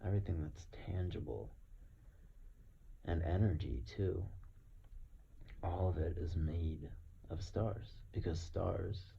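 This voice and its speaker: A man delivering a reflective philosophical monologue, halting and deliberate, his gravelly voice often dropping to a breathy murmur. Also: low-pitched, dark tone. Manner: deliberate, halting, with long pauses. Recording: dull muffled recording, faint background noise.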